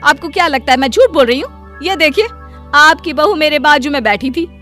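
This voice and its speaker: A woman's voice: high-pitched, fairly quick, bright, forceful tone, soft background music, voice pushed up. A woman voicing an indignant, mocking middle-aged neighbourhood housewife in a cartoon moral story, scolding and complaining. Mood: shocked, complaining.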